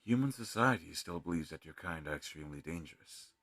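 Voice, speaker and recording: A man playing an earnest, kind fantasy healer in an audio roleplay, speaking in a gentle, hesitant, slightly husky voice. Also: a bass voice, expressive, quick pace, no echo, exaggerated voice. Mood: earnest, reflective. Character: earnest, kind young male fantasy healer, self-doubting.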